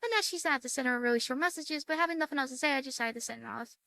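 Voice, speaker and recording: A woman reading a visual novel aloud as a game streamer, casually and haltingly, dropping in side comments of her own. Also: sits high, vocal fry, sharp tone, dry recording. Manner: halting, stumbling read-aloud.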